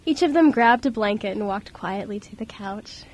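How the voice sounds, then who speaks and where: A woman's voice: medium pitch, expressive, moderate pace. A woman reading scripted storybook narration as the narrator of an amateur radio drama.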